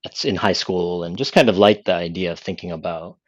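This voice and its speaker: A man's voice: low-pitched, a little fast, no room sound, lo-fi sound. A man from Canada, a podcast guest, explaining his views thoughtfully and at length. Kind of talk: casual conversation.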